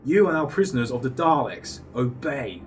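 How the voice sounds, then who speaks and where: A man's voice: medium pitch, very animated, moderate pace, over loud music, natural voice. A man as a curt henchman guard, slightly distant. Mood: stern. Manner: stern, clipped orders. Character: curt, obedient male henchman guard serving alien masters. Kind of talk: sci-fi audio drama acting.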